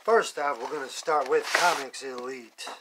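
A man's voice: medium pitch, normal speed, noisy background. A young man casually narrating a comic book unboxing, listing issues plainly and cursing bluntly when annoyed, recorded indoors on an overhead phone camera. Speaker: comic collector vlogger. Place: indoors at a table, filming from above.

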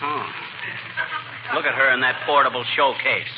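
An actor playing a cocky, blustering husband, folksy and slangy, in a 1940s radio comedy. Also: male voice, medium pitch, steady tone, moderate pace, natural voice. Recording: muffled, very noisy old radio recording.

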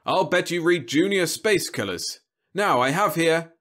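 A smooth-talking robot salesman from a science fiction story, acted out by a male narrator. The voice is lifted well above a natural register and projected, with polished, winning, relentless sales patter. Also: a little high, expressive, moderate pace, a bit bright, voice pushed up. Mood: ingratiating.

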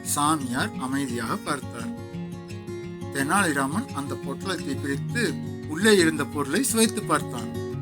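A man's voice: a little high, animated, a little slow, over loud music. A man narrating a scripted moral tale as a storyteller.

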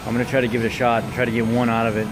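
A man's voice: medium pitch, flat delivery, rapid-fire, noisy background. A man in his thirties talking casually and candidly to camera, self-critical, recorded on a phone at arm's length in a gym. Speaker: gym vlogger. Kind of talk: casual motivational chat to camera. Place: large indoor gym. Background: loud music.

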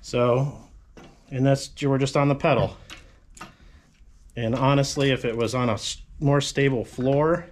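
A man's voice: mid-range voice, unhurried, long pauses. Casual garage fabricator explaining his build. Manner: relaxed, rambling explanations with frequent "right?". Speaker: fabrication channel host. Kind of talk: casual shop demonstration. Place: cluttered home garage workshop with tarp walls.

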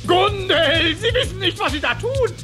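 A man voicing an excitable, miserly old cartoon duck with a theatrical, exclamatory delivery. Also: extremely high pitch, normal speed, gravelly, over music, full character voice. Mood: alarmed.

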